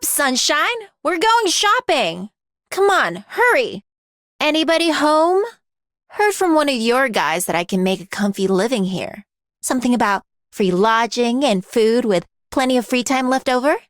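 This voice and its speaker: A woman's voice: mid-range voice, no echo, studio quality. A woman playing a cocky, brash, ageless blacksmith warrior in a video game, with a slangy, blunt, swaggering way of talking. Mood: playful, breezy. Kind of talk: video game character voice lines.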